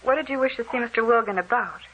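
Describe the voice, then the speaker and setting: A woman plays an excitable, formal receptionist in an old radio play. The voice is high and sharp, over a faintly hissy vintage recording. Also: quick pace, natural voice, low-quality recording. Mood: businesslike. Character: prim, excitable office receptionist. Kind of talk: 1940s radio drama acting.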